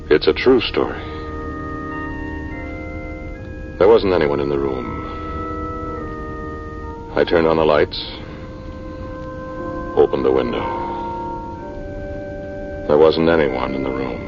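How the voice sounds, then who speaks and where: A brooding archaeologist telling a creepy tale, super deep voice, muffled 40s radio recording. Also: male voice, full character voice. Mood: tense, eerie. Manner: brooding, dramatic, deliberate storytelling with heavy pauses. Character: haunted male archaeologist recounting an eerie true story. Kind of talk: 1940s radio acting, first-person narration. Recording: muffled vintage radio recording, music underneath.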